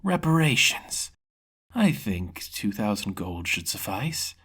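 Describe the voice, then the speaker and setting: Man voicing a menacing, husky angel gang boss. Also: on the low side, big pitch swings, sharp tone, heavily acted. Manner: deliberate, mock-polite threats.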